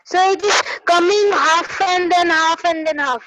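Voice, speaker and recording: Very high-voiced young woman student. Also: a little slow, fairly bright tone, dry recording, poor audio.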